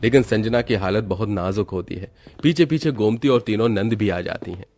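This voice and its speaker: A man's voice: on the low side, fairly quick, darkish tone. A man narrating a scripted family story as a storyteller.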